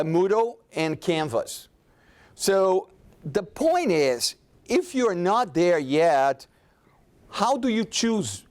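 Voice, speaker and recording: Male panelist, higher voice, casual talk with frequent fillers. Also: darkish tone. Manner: conversational, many restarts and fillers. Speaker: conference presenter.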